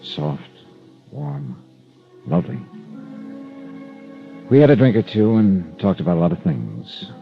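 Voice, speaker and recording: An American actor playing a dogged, wry, hard-boiled insurance investigator who also narrates, in vintage radio drama style. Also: male voice, fairly low pitch, very animated, takes it slowly, vocal fry, long pauses, soft background music, heavily acted. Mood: reflective narration. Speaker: detective and first-person narrator. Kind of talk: 1940s-50s radio drama acting. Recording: dull vintage radio recording.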